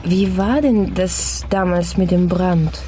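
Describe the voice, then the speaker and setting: A woman's voice: on the low side, slow pace, warm tone, a little hiss or hum. A young woman playing an earnest, inquisitive newspaper trainee in a naturalistic radio drama. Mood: earnest, curious. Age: young adult. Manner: earnest, questioning.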